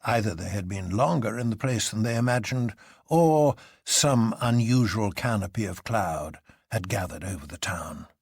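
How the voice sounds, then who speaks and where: A man's voice: on the low side, slightly rough, no echo, studio quality. A man reading a literary adventure story aloud as a dramatic narrator. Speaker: audiobook narrator.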